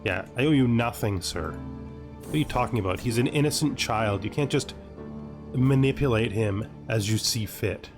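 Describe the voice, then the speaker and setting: A man's voice: medium pitch, moderate pace. A man narrating a video game playthrough casually, thinking aloud and reading on-screen text as he plays. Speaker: gaming video host, player narrating a playthrough. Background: music under the voice.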